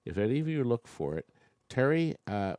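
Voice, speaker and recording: A man's voice: medium pitch, big pitch swings, normal speed, a little mellow, no room sound. A man hosting a live online auction, chatting with bidders and making joking asides while losing his voice. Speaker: auctioneer and show host.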